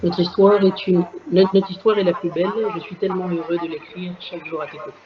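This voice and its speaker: A man in a public speaking class, giving a measured, thoughtful opinion and reading aloud. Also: high voice, flat delivery, muffled recording, crowd noise.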